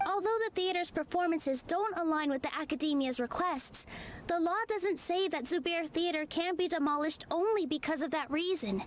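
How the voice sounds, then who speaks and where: An actress playing a sweet, earnest young theater performer in a video game, with a youthful delivery. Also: female voice, very high voice, normal speed, a little hiss or hum. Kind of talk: scripted video game voice acting.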